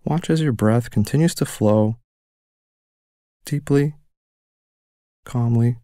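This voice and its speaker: A man leading a guided mindfulness meditation with slow, gentle and soothing instructions. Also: medium pitch, no echo, clean studio sound.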